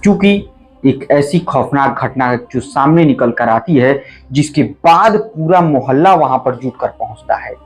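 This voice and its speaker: A man's voice: mid-range voice, animated, measured pace, soft background music. A man narrating a true crime story in an earnest, emphatic storytelling manner, speaking to listeners as friends.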